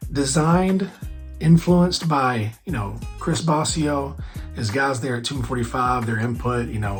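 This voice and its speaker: A hoarse man hosting a barber podcast, chatting casually on his own with lots of fillers and restarts. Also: mid-range voice. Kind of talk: casual solo podcast chat.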